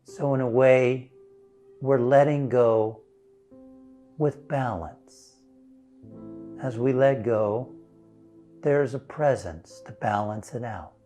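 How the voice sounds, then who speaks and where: A man leading a guided meditation as a minister, speaking in a gentle, soothing, unhurried way with long pauses. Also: medium pitch. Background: instrumental music.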